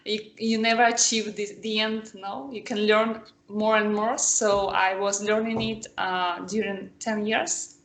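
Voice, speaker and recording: A woman's voice: mid-range voice, level tone, unhurried, hardly any pauses, fairly bright tone, roomy sound. A woman whose first language is Spanish, a student in an online lesson, talking hesitantly with many fillers. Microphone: video call.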